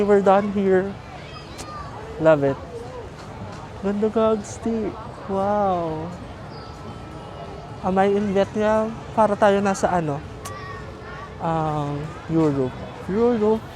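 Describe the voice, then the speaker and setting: A man's voice: sits high, drawn-out words, pauses often. A Filipino man chatting casually and ramblingly as a travel vlogger, mixing in English, with a Filipino accent. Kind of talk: casual vlog chat. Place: outdoors on busy city streets. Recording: noisy outdoor street, traffic and crowds. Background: street crowd, vehicles.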